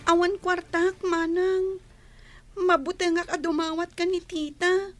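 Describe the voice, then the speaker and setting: A woman's voice: very high voice, flat delivery, a little slow, a bit bright. A woman acting a sad young schoolgirl in an Ilocano radio drama. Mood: plaintive. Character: sad young schoolgirl daughter, dependent on her nanny.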